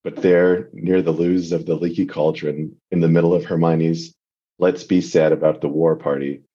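Low, husky-voiced man, dull recording. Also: flat delivery, normal speed, dark tone. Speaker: guest reader on a podcast. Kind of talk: casual chat and amateur read-aloud of fan fiction. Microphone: remote video call.

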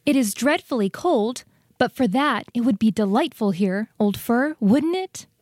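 A woman's voice: a little high, expressive, a little slow, darkish tone, no echo, clean studio sound. A woman voicing a tiny, eager, inquisitive mouse in a children's storybook, full of wonder. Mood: curious. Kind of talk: dramatized children's storybook reading.

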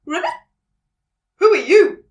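A woman voicing an anxious male frog in a children's story, giving loud, urgent warnings. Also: very high voice, sing-song, slow, reverberant, distant mic, heavily acted.

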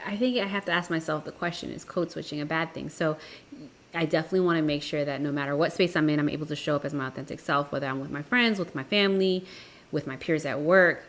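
A woman co-hosting a casual debate podcast, laughing often and shifting between quick and drawn-out phrasing. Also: sits low, a bit bright. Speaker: podcast co-host.

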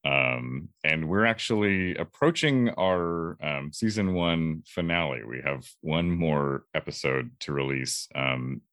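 A man's voice: very deep voice, expressive, slow, hardly any pauses, fairly bright tone, dry recording, studio quality. A young man, a voice actor, talking casually as a guest in an interview. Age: young adult.

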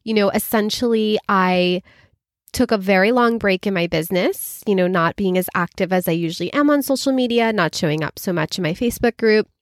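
A woman's voice: mid-range voice, no echo, studio quality. A woman hosting her podcast in a chatty, enthusiastic way, her voice a little nasal and scratchy as she recovers from illness. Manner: chatty and enthusiastic, with fillers like "you know". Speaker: podcast host and business coach. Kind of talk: casual solo podcast talk.

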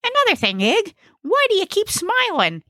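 Woman doing a very high-pitched, bossy little male alien. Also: wildly swooping pitch, normal speed, pushed and bright, no room sound, clean studio sound, heavily acted. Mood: suspicious. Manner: brash, folksy slangy grammar with made-up words. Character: bossy, cranky small male alien, mangles big words. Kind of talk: audiobook character voice.

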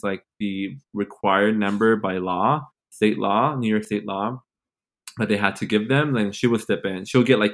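A man in his twenties with a fairly low voice talks as a guest in a casual interview. His way of speaking is informal and playful, with plenty of slang and conversational filler words. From born in Mexico, grew up in Los Angeles and Nashville. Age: 20s. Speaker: podcast guest, teacher.

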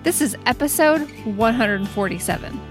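A woman's voice: on the high side, animated, moderate pace. Woman, podcast host, enthusiastic, faint background music. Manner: upbeat and enthusiastic. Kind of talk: podcast interview, scripted intro.

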